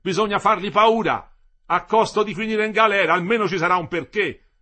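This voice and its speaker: A man's voice: high-pitched, brisk, sharp tone, voice pushed up. A man voicing a desperate, hot-tempered striking worker in a dramatised audiobook, raised and insistent. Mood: angry, militant.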